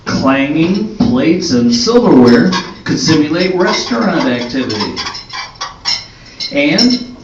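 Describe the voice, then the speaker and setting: An older man presenting an amateur radio play on stage in a slow, scripted way. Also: on the high side, big pitch swings. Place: indoor hall at a live performance. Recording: roomy echoing hall, distant mic, dull, faint noise.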